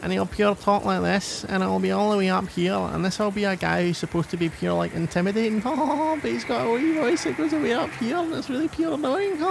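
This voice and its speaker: Man putting on a squeaky wee Glaswegian delinquent lad. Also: moderate pace, gravelly, hardly any pauses, background noise. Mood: mocking swagger. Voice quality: squeaky, thin. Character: squeaky-voiced Glaswegian delinquent boy, puny would-be tough guy.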